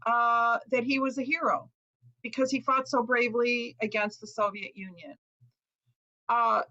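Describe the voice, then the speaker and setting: A woman's voice: fairly high pitch, sharp tone, dull audio. A woman author raised in Chicago speaking Lithuanian first, giving an earnest, reflective online talk with frequent ums. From Chicago, USA. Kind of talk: online lecture presentation.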